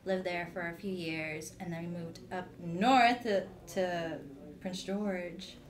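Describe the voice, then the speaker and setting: A woman's voice: fairly low pitch, fairly even intonation, takes it slowly. A young woman with a Canadian accent chats casually as a podcast guest, in an informal, slangy, giggly way. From Philippines and British Columbia, Canada. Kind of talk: casual chat, personal anecdotes.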